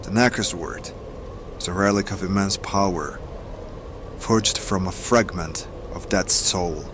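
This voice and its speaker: An actor playing a solemn, darkly assured mystical mentor in a fantasy audio drama, speaking with measured, commanding gravity. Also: male voice, sits low, noisy background. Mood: solemn, persuasive. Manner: measured, portentous, incantatory. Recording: music under the voice.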